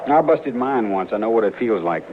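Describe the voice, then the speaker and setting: An actor as wary cowboy drifter, lively-pitched. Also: male voice, fairly quick, lightly acted. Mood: plain, earnest. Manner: folksy rural frontier grammar. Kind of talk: 1950s radio western acting. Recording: muffled, noisy old radio recording.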